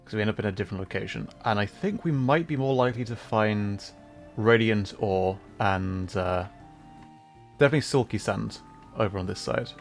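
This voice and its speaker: A man's voice: on the low side, gravelly. A man livestreaming a video game with casual commentary, thinking aloud in an easygoing way.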